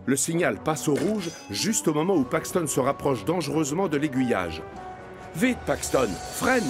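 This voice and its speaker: A man narrating a children's cartoon from a script, as an expressive storyteller. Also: a little high, normal speed. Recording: music behind the voice.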